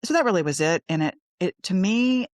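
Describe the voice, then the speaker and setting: A woman's voice: lowish voice, animated, brisk, no room sound. Middle aged american lady chatting on a podcast, candid and informal, really dry room sound. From Texas, United States. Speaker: podcast guest, lawyer and coach. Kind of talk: conversational interview storytelling.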